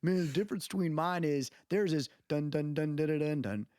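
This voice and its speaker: A man's voice: on the high side, fairly quick, darkish tone, smooth. An American man talking casually on a podcast, arguing his points informally and often checking that he is understood. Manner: conversational, checks in with "you know what I mean".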